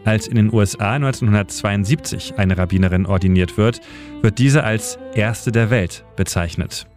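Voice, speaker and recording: Male radio narrator, lowish pitch, scripted storytelling in pointed phrases, music bed. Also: normal speed, darkish tone. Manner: short, pointed sentences. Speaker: radio editor and city guide.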